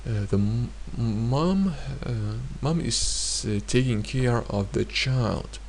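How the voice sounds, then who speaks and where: A man teaching a grammar lesson in a hesitant way, pausing often and repeating each example sentence. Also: medium pitch, lively intonation, creaky, a little mellow, slight background noise. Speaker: language teacher.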